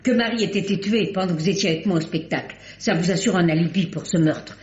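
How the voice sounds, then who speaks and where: An actress playing a sharp-minded lady sleuth in an old radio drama, poised and deliberate. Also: on the low side, close to an everyday voice. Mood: determined. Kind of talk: 1950s French radio drama acting. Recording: dull, roomy old radio recording.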